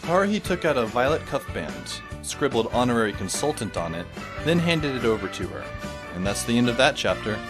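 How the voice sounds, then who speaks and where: A man's voice: medium pitch, big pitch swings, a little fast, music in the background. A man narrating a story in the first person with a dry, sardonic manner.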